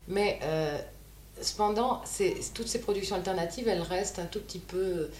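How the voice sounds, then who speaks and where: A woman's voice: lowish voice, normal speed, a little mellow, slight background noise. Wry, chatty French-speaking woman host. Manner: wry, conversational, many asides. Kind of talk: radio interview and commentary.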